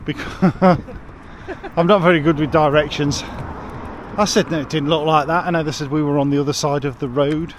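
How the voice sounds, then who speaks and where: A middle-aged English man rambles cheerfully into a camera held at arm's length, his voice sitting a little higher than most men's. He is outdoors in town streets and a garden, and a faint hum of traffic and surroundings runs behind him. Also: steady tone, moderate pace. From England. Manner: chatty, jokey, rambling with restarts. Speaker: vlogger. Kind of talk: casual travel vlog chat.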